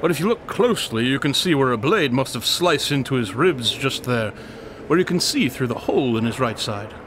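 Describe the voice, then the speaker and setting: A man playing a coolly logical, flippant gentleman detective in an audio drama, with a wry, wordy, formal manner. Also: mid-range voice, lively intonation, fairly quick, a little hiss or hum, lightly acted. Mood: dry, analytical.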